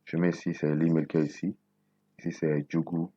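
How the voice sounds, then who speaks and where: A man in his twenties from Benin, whose first language is Nago, hosting a coding tutorial and thinking aloud slowly as he types, repeating words to himself. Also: a bass voice, little pitch movement, warm tone, no echo, highs cut off. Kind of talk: casual screen-recorded coding tutorial.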